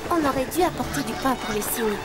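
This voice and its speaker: A woman's voice: high-pitched, expressive, fast, over loud music. A woman voicing a spirited, cheeky little girl with a bold, playfully haughty manner in a French cartoon dub. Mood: teasing.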